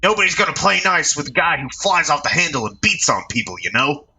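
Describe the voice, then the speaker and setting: A man voicing a brash male reporter who taunts and talks back quickly. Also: fairly high pitch, very animated, creaky, pushed and bright, slightly rough, voice pushed up, full character voice. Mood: taunting. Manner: taunting, fast-talking.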